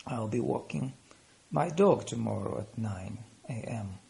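A man reading paired lesson phrases, saying the English slowly and deliberately after quicker Russian. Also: on the low side, animated, vocal fry, mellow. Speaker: language teacher.

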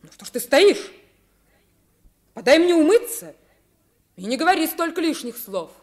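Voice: high-pitched, very animated, normal speed. A performer playing a haughty, indignant young boy prince in a 1950s radio play, imperious and solemn. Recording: vintage 1950s radio recording.

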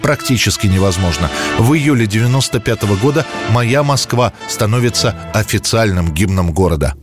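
A man's voice: lowish voice, animated, fairly quick, music in the background. A man hosting a programme, reading a scripted narration about history in a studio. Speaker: radio programme host.

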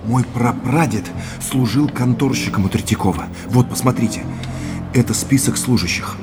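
A man's voice: medium pitch, moderate pace, loud music behind the voice, close to an everyday voice. An actor playing an earnest, determined businessman husband in a naturalistic audio drama. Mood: excited.

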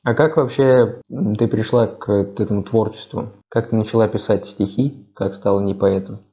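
Male podcast host, low mellow voice, relaxed questioner, muffled lo-fi recording. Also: moderate pace. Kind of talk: casual conversational interviewing. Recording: dull lo-fi sound.

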